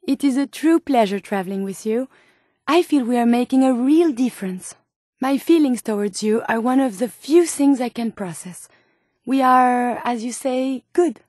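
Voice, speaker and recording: A woman's voice: sits high, no echo, clean studio sound. A woman voicing an earnest, polite female robot scientist with a French accent and formal, precise phrasing, as game companion dialogue. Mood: pleased, earnest.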